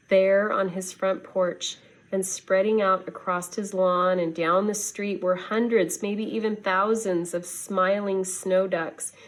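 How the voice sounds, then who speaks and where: Female storybook reader, teacherly: unhurried, expressive delivery at a medium pitch, for young listeners. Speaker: schoolteacher reading to students. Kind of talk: children's storybook read-aloud.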